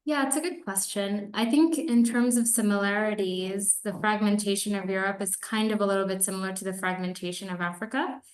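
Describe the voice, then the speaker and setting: A woman's voice: mid-range voice, fairly even intonation, brisk, few pauses. A woman from Kenya giving conversational answers as an investor being interviewed on a webinar call. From Nairobi, Kenya. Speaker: venture capital investor, interview guest. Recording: roomy echo on webinar call.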